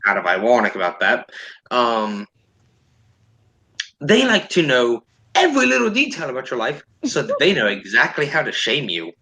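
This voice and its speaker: A man's voice: mid-range voice, wildly swooping pitch, normal speed, pushed and bright, low-quality recording. A man hosting a casual commentary chat in a blunt, sardonic, sweary way. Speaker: host.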